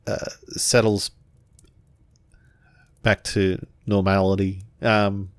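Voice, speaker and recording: A man's voice: deep voice, flat delivery, extremely slow, no room sound. A man improvising narration of his character's actions in a tabletop roleplaying game, hesitant and searching for words. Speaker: tabletop role-player.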